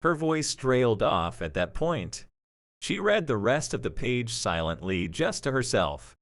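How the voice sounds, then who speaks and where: A man's voice: medium pitch, very animated, measured pace, no echo, studio quality. A man narrating a scripted story for an audio drama.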